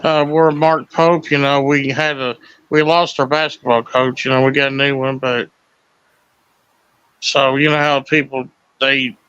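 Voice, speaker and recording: A man's voice: medium pitch, level tone, measured pace, a bit bright, clear, resonant tone, dry recording, muffled recording. A man in his forties from Kentucky, chatting casually as a guest on a video call and asking lots of questions. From Kentucky, USA. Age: 40s. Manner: loose and chatty, asks questions. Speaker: livestream guest. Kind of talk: casual chat.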